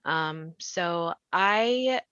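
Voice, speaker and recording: A woman's voice: lowish voice, animated, extremely slow, fairly bright tone, smooth, no echo, lo-fi sound. A woman hosting a podcast discussion, speaking earnestly and effusively as she introduces things. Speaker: host, writer and podcast voice actor. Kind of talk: spoken introduction to a behind-the-scenes podcast discussion.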